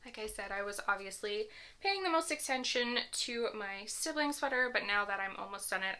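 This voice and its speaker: A woman's voice: mid-range voice, pushed and bright. A young woman hosting a knitting podcast in a casual, rambling chat with small laughs, her voice a little strained after a concert. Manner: chatty, rambling, with little laughs and self-corrections.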